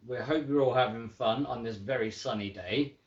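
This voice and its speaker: A woman hosting a children's story time, chatting casually and reading aloud in a playful, expressive way. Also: extremely low pitch, moderate pace. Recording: roomy, slightly dull home recording.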